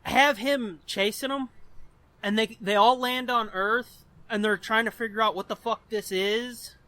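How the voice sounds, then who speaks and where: A man with a notably high, bright voice talks casually as a podcast host. He is blunt and freely profane, and the recording is dry and close, without room sound. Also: a little slow, dry recording. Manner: profane, blunt, animated explaining. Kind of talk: casual chat.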